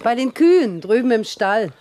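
A woman's voice: a little high, animated, normal speed, warm tone, lightly acted. A woman acting as a wary farm woman in a radio drama, plain-spoken and pointed. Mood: matter-of-fact. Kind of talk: German radio play dialogue.

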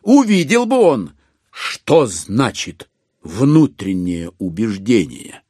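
An actor as the wry narrator of a classic novel in an audio drama, speaking with ironic relish and deliberate, expressive phrasing. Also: male voice, on the low side, no room sound, studio quality, heavily acted.

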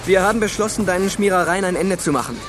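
Earnest, insistent schoolboy, noisy ambience. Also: male voice, sits high, animated, fast talker, fairly bright tone. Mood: urgent, insistent. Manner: urgent, persuasive. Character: earnest teenage schoolboy, devoted movement believer. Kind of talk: radio drama acting. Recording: radio play with noisy outdoor ambience.

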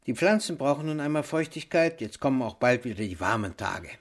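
An actor in a German radio play playing a fussy, self-important village pharmacist with a pompous, expressive manner. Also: male voice, mid-range voice, brisk. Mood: awkward, fussy.

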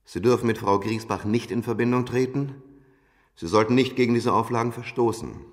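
An actor playing a stern judge in a radio play, reading a ruling in a formal, measured, authoritative manner. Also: male voice, medium pitch, lightly acted. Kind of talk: radio play courtroom pronouncement. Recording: long reverberant hall.

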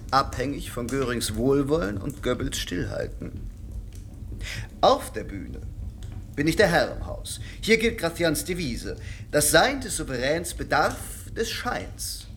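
A man's voice: medium pitch, a bit bright, slight background noise. A suave, ironic man of the theatre. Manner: polished, aphoristic, ironic. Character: vain, cultivated man of the theatre, champion of artifice. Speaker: stage actor and theatre director. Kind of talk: radio drama.

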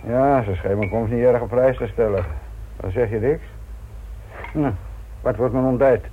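A man's voice: medium pitch, normal speed, slight background noise, lightly acted. An actor playing a wry, teasing middle-aged police inspector in a Dutch radio play, nonchalant and colloquial in his talk. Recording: muffled phone-like old radio recording.

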